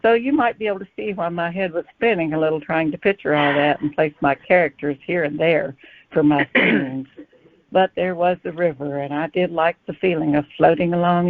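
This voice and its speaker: Low-voiced woman, thin phone-like sound. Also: gravelly, dry recording. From Kentucky, USA. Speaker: guest author. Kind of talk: prepared, storytelling interview answers.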